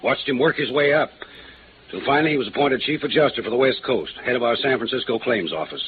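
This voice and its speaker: An old, noisy radio recording, thin as a phone line, carries a male actor as a troubled older American executive. He speaks gravely and haltingly, set in his ways, in 1950s drama style. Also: medium pitch, close to an everyday voice. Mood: proud, sorrowful.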